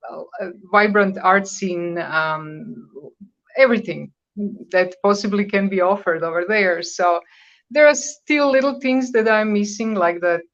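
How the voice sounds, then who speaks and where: A woman's voice: lowish voice, dull audio. A Croatian-born woman in her fifties, a native Croatian speaker, answering as a guest in a radio interview with frequent hesitations. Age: 50s. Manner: conversational, searching for words, with hesitations.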